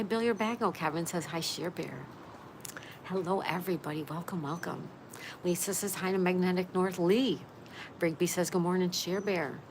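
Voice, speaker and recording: A woman's voice: lowish voice, a little hiss or hum. A woman in her sixties hosting a casual livestream, chattily reading out comments and greeting viewers. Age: 60s. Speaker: livestream host.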